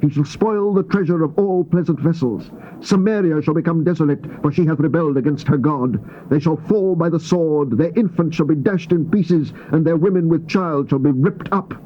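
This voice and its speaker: Solemn man declaiming scripture, noisy dull tape. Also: fairly high pitch. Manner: grave, declamatory, deliberate phrasing with emphatic pauses. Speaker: scripture narrator. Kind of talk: dramatized Bible reading, solemn and theatrical. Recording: dull, noisy old cassette tape transfer.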